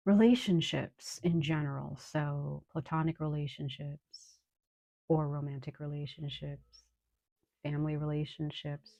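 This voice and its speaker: A woman with a low, slightly hoarse voice, under the weather with a cold, reads tarot for her viewers. She talks in an unhurried, reassuring way and fills pauses with ums. The sound is dry and close, with no room echo. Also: mellow, muffled recording. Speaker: tarot reader. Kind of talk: casual tarot reading to viewers.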